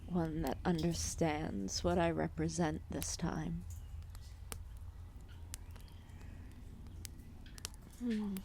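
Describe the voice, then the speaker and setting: A woman voicing an ancient, lethargic serpent goddess, protective and calmly menacing, in a formal, soothing, intimate ASMR roleplay with whispered phrases. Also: lowish voice, slow, creaky, slight background noise. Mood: wistful, gentle. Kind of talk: ASMR roleplay, intimate soft-spoken acting.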